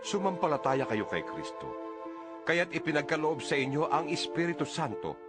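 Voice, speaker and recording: A man's voice: a little high, very animated, normal speed. A man voicing a solemn, devout apostle in a dramatized scripture reading, with declamatory delivery. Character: solemn, devout male apostle reading his letter aloud. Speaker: audio Bible narrator. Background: music.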